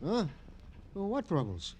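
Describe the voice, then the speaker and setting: High-pitched, excitable New York police sergeant. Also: male voice, unhurried, husky, exaggerated voice. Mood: eager, puzzled. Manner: folksy malapropisms, puzzled and emphatic. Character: comic, earnest, dim but loyal New York police sergeant. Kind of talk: 1950s radio crime drama acting.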